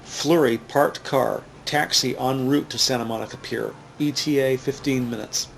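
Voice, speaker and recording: A man narrating a scripted crime drama in a measured, matter-of-fact way. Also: medium pitch, steady tone, vocal fry. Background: music.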